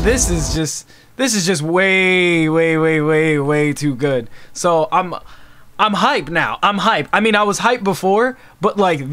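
A man in his twenties giving an excitable, slangy live reaction as a video host, recorded indoors at home. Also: high voice. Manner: animated, exclamatory, slangy. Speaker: YouTube host reacting to a game trailer. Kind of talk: casual live reaction vlog. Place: small furnished room at home, indoors.

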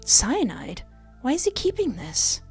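A woman's voice: huge pitch swings, unhurried, a little mellow, exaggerated voice. A woman voicing an indignant, frightened kidnap victim in a horror visual novel, exclaiming. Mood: alarmed. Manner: high, exclaiming. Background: game music.

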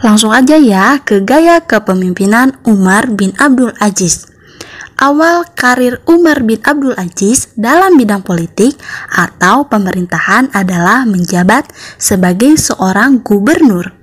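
A young woman teaches a school lesson in a slightly breathy, husky voice. She reads her material in an orderly, instructional way, point by point, for schoolchildren. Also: medium pitch. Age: young adult. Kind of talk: scripted lesson narration for schoolchildren.

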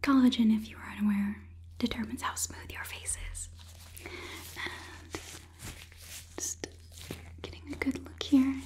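A young woman as a female robot overlord whispers in an ASMR roleplay, examining a human. The voice is soft and mellow, clinical and gently condescending, delivered close to the microphone. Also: on the high side, steady tone, unhurried, long pauses, lightly acted. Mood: clinical, explaining. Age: 20s. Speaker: ASMR performer. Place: small indoor studio set. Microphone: close microphone near camera.

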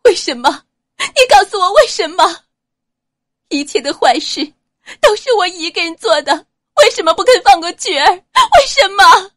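A woman's voice: sing-song, moderate pace, a bit bright, dry recording, clean studio sound, heavily acted. A woman voicing a grief-stricken, furious young noblewoman who cries out accusations through sobs. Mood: anguished. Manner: shrill accusing cries, sobbing.

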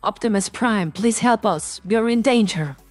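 A man's voice: high voice, unhurried, no room sound, soft background music, voice pushed up. A man voicing a young woman game heroine in danger through an AI voice changer. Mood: alarmed pleading.

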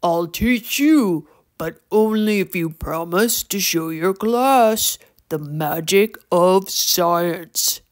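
A gruff, mischievous old troll from a children's storybook, acted out by a woman. The voice sits low and gravelly. Lines come slowly, with theatrical emphasis and showy relish. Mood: showy, instructive. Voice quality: deep, gravelly.

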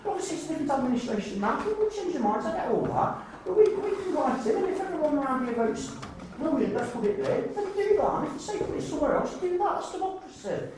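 A man's voice: extremely high pitch, big pitch swings, quick pace, few pauses, a little mellow. An English man speaking insistently and with a sense of grievance as a councillor at a meeting. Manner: insistent, aggrieved. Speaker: town councillor. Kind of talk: impromptu meeting speech. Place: indoor council meeting room. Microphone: distant camera microphone. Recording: echoey room, distant mic, faint noise.

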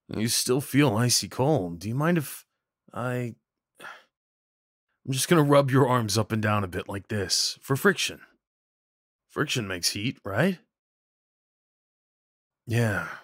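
A man acting a kind, slightly awkward neighbor in an audio roleplay, talking in a gently concerned, hesitant, conversational way. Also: lowish voice, dry recording, clean studio sound. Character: kind, awkward, caring male neighbor comforting someone. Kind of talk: ASMR roleplay, soft one-sided dialogue acting.

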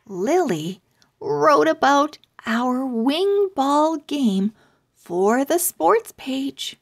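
Female storybook narrator for young children, high voice, extremely unhurried delivery. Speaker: children's book read-aloud narrator. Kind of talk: slow, deliberate scripted storybook narration for children.

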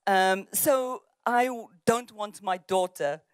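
A woman's voice: medium pitch, takes it slowly, clean tone, no room sound. A woman in her sixties from South Africa whose first language is Afrikaans, speaking thoughtfully with frequent restarts as an author on an outdoor festival panel, into a handheld mic. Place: outdoor festival stage among trees. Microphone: handheld microphone.

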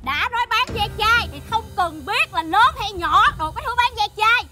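A woman's voice: extremely high pitch, lively intonation, normal speed. An actress playing a brash, rude woman who sells fake beauty cream and taunts others coarsely. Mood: taunting. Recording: loud music bed behind the voice.

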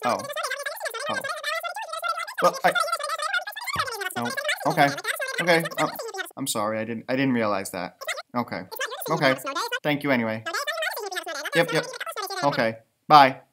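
A man's voice: mid-range voice, measured pace, long pauses, heavy background noise. American guy in his 30s doing kids storytime, super swoopy voice, playful n theatrical. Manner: playful, expressive, theatrical, with sudden loud and hushed turns. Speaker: elementary school storybook reader, teacher. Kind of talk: animated children's read-aloud with chatty asides. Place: room with bookshelves, indoors.

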